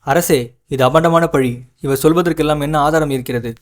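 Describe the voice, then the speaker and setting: A man playing an earnest, indignant young orphan at a royal court in an animated tale, polite but protesting. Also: on the high side, brisk, close to an everyday voice. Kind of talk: animated moral story dialogue.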